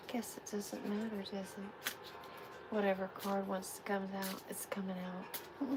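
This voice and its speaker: A woman hosting a live tarot reading, giving spiritual guidance in a soft, soothing way. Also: medium pitch, background noise. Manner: soft, unhurried, soothing affirmations.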